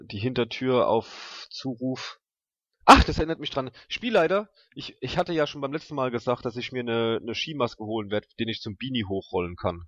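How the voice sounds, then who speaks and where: A man's voice: medium pitch, a bit bright, dry recording. A man playing a tabletop role-playing game, chatting casually in a blunt, colloquial, rambling way on a slightly dull, dry recording.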